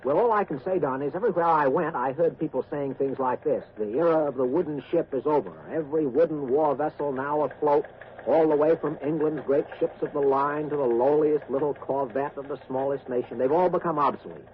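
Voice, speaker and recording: Actor as a grave higher-voiced radio war correspondent. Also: male voice, a little fast, natural voice. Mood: urgent, emphatic. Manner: hesitant, emphatic, grave. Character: earnest war correspondent giving grave expert analysis. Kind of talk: 1940s radio acting as news commentary. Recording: tinny, noisy vintage radio recording.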